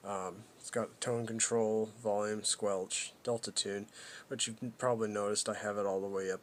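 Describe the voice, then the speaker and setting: A man giving a casual, unscripted product walkthrough as a hobbyist, haltingly thinking aloud as he goes. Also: lowish voice, fairly even intonation, slight background noise. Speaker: hobbyist presenter demonstrating a vintage CB radio.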